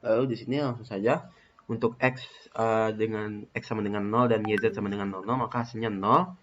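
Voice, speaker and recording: A man's voice: on the low side, steady tone, a little fast, noisy background. A young man, a student, reading out truth-table results step by step in a methodical way with hesitant fillers. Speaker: student presenting a case study. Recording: muffled lo-fi recording.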